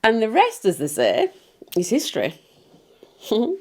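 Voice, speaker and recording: An actress playing a smug, scheming pantomime stepsister, gossiping confidingly in a comic monologue. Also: female voice, a little high, huge pitch swings, measured pace, a little mellow, heavily acted. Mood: gloating, sly.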